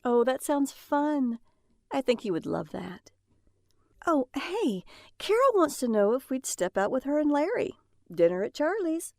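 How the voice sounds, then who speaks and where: A woman's voice: high voice, big pitch swings, a little slow, a little mellow, no echo, clean studio sound, exaggerated voice. An actress playing a stressed mother and trucker's wife in an audio drama, by turns snappish and chatty. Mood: affectionate, upbeat. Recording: faint background noise.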